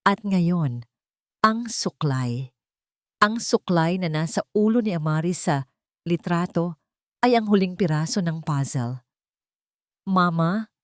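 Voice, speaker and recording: A Filipino woman narrates a scripted love story with measured, dramatic delivery. Her voice sits somewhat low, and the recording is very dry and close, with hardly any room sound. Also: darkish tone, dry recording.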